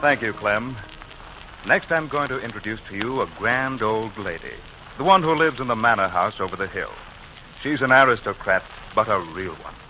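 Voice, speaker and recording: A man's voice: on the low side, expressive, normal speed, bright, forceful tone. A man presenting on 1940s radio, making formal introductions in an announcer's style. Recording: faintly noisy 1940s radio recording.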